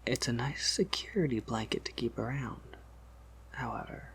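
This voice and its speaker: A man voicing a gentle, wry vampire apothecary in a breathy ASMR whisper, musing softly and reassuringly. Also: medium pitch, lively intonation, moderate pace. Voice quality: breathy whisper with creaky edges. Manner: gentle, reassuring, hesitant musing with soft hums. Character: soft-spoken, patient, wry male vampire apothecary, collector of oddities.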